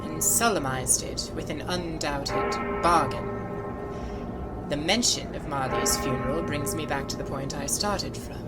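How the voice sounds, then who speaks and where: A woman reading a classic story as a dramatic, deliberate storybook narrator. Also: low voice, poor audio. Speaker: narrator and producer of an audiobook. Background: loud music.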